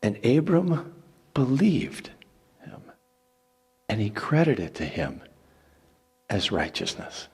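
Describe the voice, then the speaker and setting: A man narrating scripture as a dramatic reading, with deliberate pauses and theatrical emphasis. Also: lowish voice, big pitch swings, a little mellow, husky. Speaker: scripture reader.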